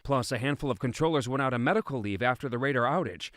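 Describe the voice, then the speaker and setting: A man's voice: mid-range voice, fast talker, a bit bright. A man reading scripted investigative news narration as a television reporter.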